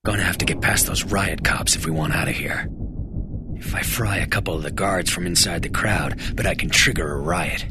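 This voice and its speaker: A man playing a gritty, bitter young antihero, narrating a video game in a terse, brooding, gravelly voice. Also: sits low, moderate pace, noisy background, lightly acted. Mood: cold, calculating. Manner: brooding, terse, hard-boiled delivery.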